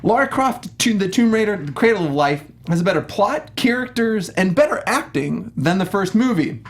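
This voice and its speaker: A man co-hosting a panel show with casual, wordy, excitable comic banter. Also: high-pitched, normal speed.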